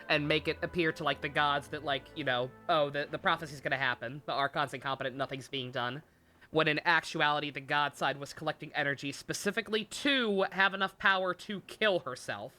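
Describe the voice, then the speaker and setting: A woman gives off-the-cuff game stream commentary. The voice is a little low but bright and punchy. The talk is sardonic, sweary and rambling, with light game music underneath. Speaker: game livestreamer. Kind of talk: casual live stream commentary and recap.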